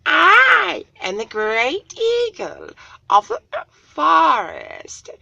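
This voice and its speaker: Storybook great eagle: stern forest guardian, high and loud, very slow proclaiming delivery. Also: female voice, wildly swooping pitch, creaky, no room sound, lo-fi sound, heavily acted. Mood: stern, commanding. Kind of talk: storybook read-aloud character voice.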